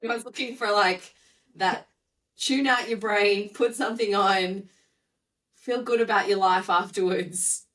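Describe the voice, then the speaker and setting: A slightly hoarse, croaky woman co-hosting a podcast, chatting casually in a candid, gossipy way. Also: medium pitch, a little slow, a bit bright, distant mic, rough recording. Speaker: podcast co-host.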